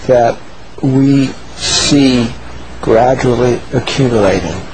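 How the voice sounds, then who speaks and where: A male scientist speaks as a guest in a slow, deliberate, measured manner. His pitch is average. Clear ambient noise sits behind him.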